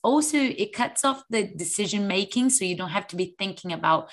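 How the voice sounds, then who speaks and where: A woman co-hosting a fitness podcast in casual chat, sounding a little fluey and telling stories in an animated way. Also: mid-range voice, fairly quick. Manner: chatty, animated storytelling. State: a little hoarse with flu. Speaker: podcast co-host; online fitness coach.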